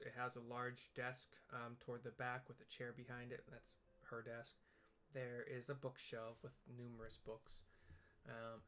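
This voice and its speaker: A game streamer casually describing what is on screen, hesitant and searching for words in halting fragments. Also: medium pitch, a bit bright. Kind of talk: casual, improvised visual description of a game for listeners.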